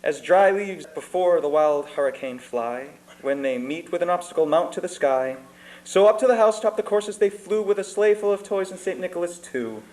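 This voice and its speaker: A man reads a Christmas storybook poem in a fairly high voice. His delivery is theatrical and expressive, in the style of a radio host telling a seasonal tale. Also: normal speed, low-quality recording. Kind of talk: dramatic Christmas storybook reading.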